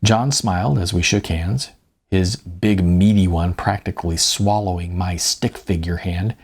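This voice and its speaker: A man's voice: sits low, measured pace. A middle-aged man narrating an audiobook in the first person, with a wry storytelling manner. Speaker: author reading own audiobook.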